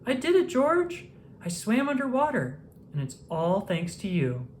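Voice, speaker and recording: A woman's voice: medium pitch, huge pitch swings, unhurried, a little hiss or hum, pitched above the performer's own voice. A woman reading a storybook, voicing a shy, sweet little girl who is eager to learn to swim. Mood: thrilled. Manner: soft eager childlike talk.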